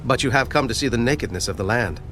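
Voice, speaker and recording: A man's voice: mid-range voice, expressive, fast, noisy background, close to an everyday voice. A man playing a stern governor of Egypt in a dramatized audio Bible, accusing and formal with an air of authority. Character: stern, commanding governor of Egypt questioning his brothers. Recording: loud music bed.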